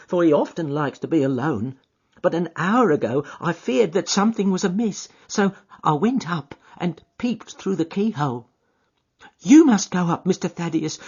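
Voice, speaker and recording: A tall old housekeeper woman, fretful and near tears, speaking high and drawn out, as a male narrator puts her on. The husky voice sounds somewhat dull and lo-fi. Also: lively intonation, moderate pace. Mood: anxious.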